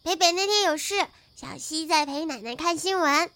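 A woman's voice: sits high, normal speed, slight background noise. A woman voicing a sweet, chatty little girl in an audio drama, recounting things in a hesitant, childlike way. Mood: earnest, innocent. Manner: childlike, hesitant recounting, refers to self by nickname. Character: sweet chatty little girl telling mother about her day. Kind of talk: modern audio drama acting. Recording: soft music behind the voice.

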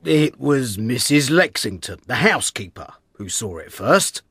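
A man's voice: medium pitch, sing-song, a little slow, a bit bright, slightly rough, no echo, studio quality, pitched above the performer's own voice, full character voice. A man voicing a self-assured, brusque police inspector who is easily cross, in a dramatised audiobook. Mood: confident.

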